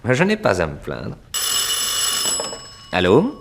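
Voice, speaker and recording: An actor playing a touchy, self-satisfied painter in a 1960s French radio drama, guarded and a little haughty. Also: male voice, on the low side, huge pitch swings, takes it slowly, vocal fry, full character voice. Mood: irritated.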